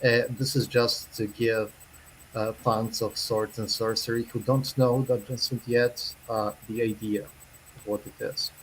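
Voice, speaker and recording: A man from Poland with a Polish accent, a non-native English speaker, giving a careful online presentation with many pauses. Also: mid-range voice, little pitch movement, a little hiss or hum, low-quality recording. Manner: careful, unhurried, self-conscious, with many pauses and fillers. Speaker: zine editor giving a presentation.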